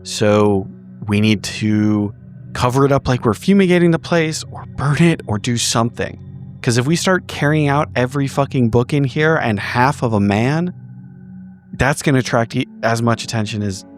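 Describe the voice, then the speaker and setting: A man with a mid-pitched voice improvises a roleplay scene. He speaks in halting, repeated bursts peppered with profanity, and music plays clearly underneath. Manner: halting, repetitive, profanity-laced speech. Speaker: tabletop roleplay podcast player.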